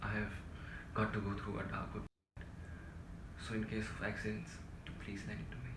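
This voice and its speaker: An actor playing an exhausted, self-pitying middle-aged civil servant in a stage play, pleading and ranting melodramatically. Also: male voice, on the low side, monotone, moderate pace, close to an everyday voice. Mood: weary pleading. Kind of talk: theatrical stage-play dialogue. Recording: echoey room, distant mic, loud noise.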